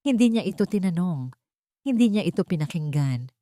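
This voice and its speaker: A woman tells a dramatic story in a solemn, emotive manner. Her voice sits a little low, and the recording is very dry, with hardly any room around it. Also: big pitch swings, fairly quick, dark tone, dry recording. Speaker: narrator. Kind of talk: dramatic scripted story narration, audio drama.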